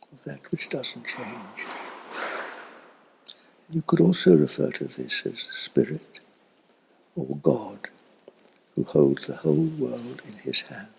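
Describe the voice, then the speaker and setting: An elderly man giving a slow, reflective spiritual talk in a husky, breathy voice that often fades toward a whisper, with long thoughtful pauses. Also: medium pitch, a little hiss or hum, rough recording. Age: older, elderly. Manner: contemplative, halting with long pauses. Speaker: spiritual teacher.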